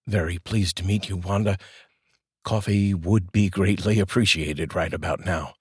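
A polite, mild-mannered father, as a male narrator voices him. His voice is very low and husky. The sound is dry. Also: steady tone, normal speed, dry recording. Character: father moving into a new house.

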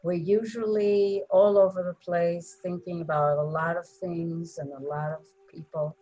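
Woman, meditation guide, slightly low voice, very slow pace, gentle, soothing, long pauses. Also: flat delivery, rough recording.

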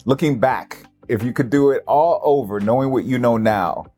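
Male host, mid-pitched, affirming. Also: normal speed, warm tone, faint music behind. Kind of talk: casual interview.

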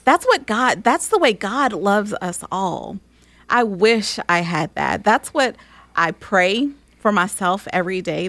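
A woman co-hosting a casual faith-based chat, animated and candid, laughing easily. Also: on the low side, no echo. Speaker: podcast co-host.

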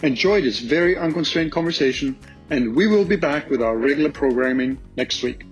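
A middle-aged man with an Austrian accent, talking through industry questions in a measured, optimistic way, with plenty of ums. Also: a little high, animated, faint music behind. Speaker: podcast host and industry guest. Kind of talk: conversational interview answers. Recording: slightly dull lo-fi audio.

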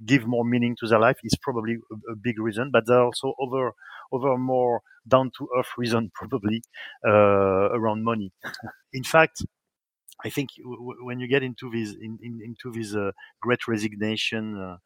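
A man's voice: mid-range voice, fairly even intonation, normal speed, dry recording, rough recording. A man with a French accent, answering questions as a guest in a podcast interview, hesitant with many restarts. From France. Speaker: guest, software company executive.

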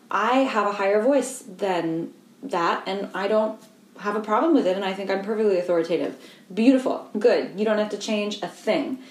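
A woman voice coach giving a calm, explanatory lesson and guiding the listener through simple humming exercises. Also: medium pitch, roomy sound. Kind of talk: instructional voice lesson.

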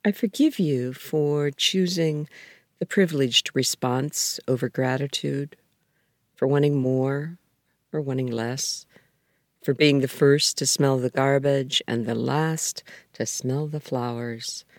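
Slow-paced older woman reading poetry. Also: rumbling low, a little mellow, no echo, studio quality. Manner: measured, deliberate phrasing with pauses. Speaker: writer reading own poem.

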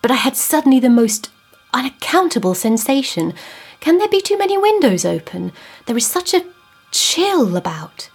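A woman reading the part of a troubled, grieving widower in an audiobook ghost story, anxious and earnest, sometimes dropping to a hush. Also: on the high side, animated, normal speed, breathy edge, faint music behind. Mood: uneasy. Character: troubled grieving widower, man, middle-aged, uneasy.

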